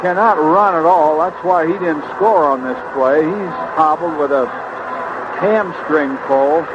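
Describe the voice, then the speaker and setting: American ballgame commentator, higher voice, very noisy radio. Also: male voice, a little slow. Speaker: baseball color commentator. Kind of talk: conversational radio game commentary. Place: ballpark broadcast booth. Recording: dull, very noisy 1970s radio broadcast. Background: loud stadium ambience and noise.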